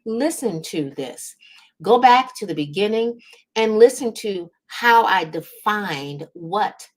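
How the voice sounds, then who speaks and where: A woman's voice: medium pitch, animated, slow pace. A woman host speaking as a business coach in an encouraging, emphatic way, often checking in with the listener. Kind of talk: motivational coaching talk to camera.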